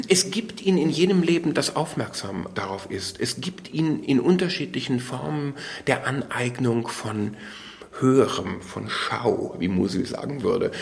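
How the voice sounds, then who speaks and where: A man's voice: lowish voice, gravelly. A man giving spontaneous analytical literary commentary in an eloquent, intellectual manner with emphatic phrasing.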